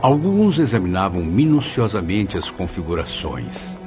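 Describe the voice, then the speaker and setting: An actor playing an awed, earnest student who recounts his spiritual memoirs in a formal, expressive radio drama style. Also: low voice, unhurried. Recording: music under the voice.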